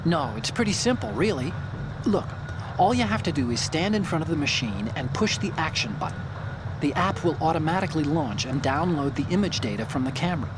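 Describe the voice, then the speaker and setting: A man's voice: a little high, lively intonation, brisk, noisy background, lightly acted. A male actor playing an earnest, brainy young tech sidekick in a video game, explaining things in an eager, slightly fussy way. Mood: explaining, wry.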